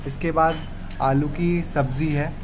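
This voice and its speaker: A man's voice: fairly high pitch, fairly even intonation, a little slow, music in the background. A young man vlogging a restaurant visit, enthusiastically telling his viewers about the food and decor. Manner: enthusiastic, addresses viewers directly. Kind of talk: casual food vlog narration. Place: indoor restaurant.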